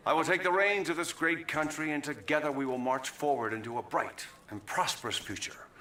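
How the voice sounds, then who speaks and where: Echoey, swooping American politician. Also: male voice, mid-range voice, bright, forceful tone. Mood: rousing, proud. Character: folksy, pompous American vice president taking office. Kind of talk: podium political speech in a game cutscene. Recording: echoey podium hall sound.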